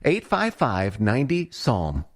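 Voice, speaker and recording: A man's voice: mid-range voice, huge pitch swings, slow pace. A man reading a scripted radio commercial in a conversational, persuasive style. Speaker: commercial announcer.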